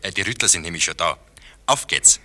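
A man's voice: low-pitched, lively intonation, brisk, sharp tone, heavily acted. An actor playing a hearty dam construction signalman over a works telephone in a 1950s radio drama. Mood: hearty, joyful. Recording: very noisy humming telephone line.

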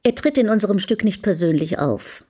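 A woman radio announcer giving a measured, formal scripted introduction to a play. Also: medium pitch, animated, mellow, breathy edge.

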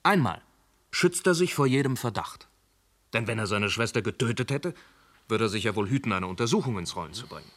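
An actor playing a shrewd police inspector in a radio play who reasons calmly, then grills a suspect harshly. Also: male voice, fairly low pitch, fairly quick. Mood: reasoning. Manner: probing, turns harsh and scolding. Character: shrewd, hard-pressing male police inspector. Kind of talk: radio drama acting.

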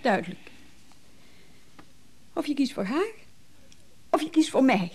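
An exasperated, demanding lover in a radio play. Her voice is fairly high, lively in pitch and full of creak, pressing and reproachful. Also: unhurried, exaggerated voice. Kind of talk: radio drama acting, dialogue.

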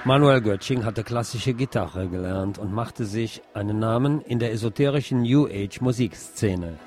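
A man's voice: fairly low pitch, moderate pace. A man presenting a scripted radio feature as host. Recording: music behind the voice. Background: music.

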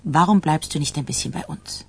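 Husky, caring, firm friend. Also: female voice, sits low, a little fast, full character voice. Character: caring, firm female friend giving advice. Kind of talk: radio drama acting.

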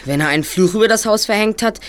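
An actor playing a brisk, analytical boy detective leader in an audio drama, speaking in precise, bookish phrases. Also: medium pitch, slight background noise, natural voice. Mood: businesslike, confident.

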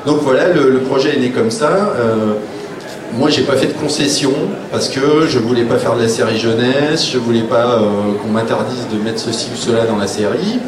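A man's voice: mid-range voice, normal speed, crowd noise. A man speaking informally as an author on a panel, telling anecdotes in a blunt, wry way and acting out quoted remarks. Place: public round table in a room. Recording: roomy, distant live recording with clear noise.

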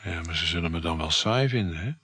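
Insecure, quietly jealous lover for radio drama: low-pitched, very breathy and husky, halting. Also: male voice, dry recording, full character voice. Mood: awkward curiosity. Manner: hesitant, understated, halting. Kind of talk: Dutch radio play acting.

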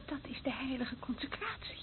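Naive young woman, high animated voice, questioning, radio drama. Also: moderate pace. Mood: curious, timid. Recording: tinny old radio recording, hiss.

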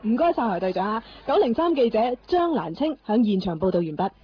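An inquisitive Hong Kong reporter on the scene. She asks polite questions in quick, very animated Cantonese. The radio sound is dull with faint noise. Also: fairly high pitch, faint music behind. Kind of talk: interviewing in radio drama.

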